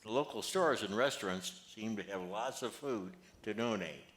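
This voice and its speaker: A man's voice: lowish voice, moderate pace, a bit bright, natural voice. An older man reading the part of a genial, modest grandfather in a play, plainly and a little hesitantly. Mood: matter-of-fact. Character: genial, modest grandfather who organizes charity work. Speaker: amateur actor reading a play. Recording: echoey, roomy amateur recording.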